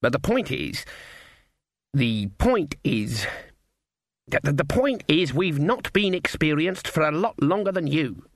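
A man's voice: fairly high pitch, big pitch swings, measured pace, dry recording, studio quality, pitched above the performer's own voice, full character voice. A man voicing a stern, stubborn old witch who speaks bluntly and firmly in country dialect.

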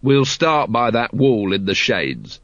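A man's voice: mid-range voice, very animated, slow pace, a bit bright, no echo, dull audio, full character voice. A man voicing an impatient, weary watch captain in an audiobook, terse and dry.

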